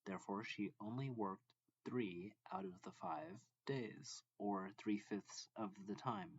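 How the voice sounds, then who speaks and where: A man reading a math textbook lesson aloud as a tutor, deliberately and in short phrases. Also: fairly low pitch, very animated, vocal fry, husky, no room sound, dull audio.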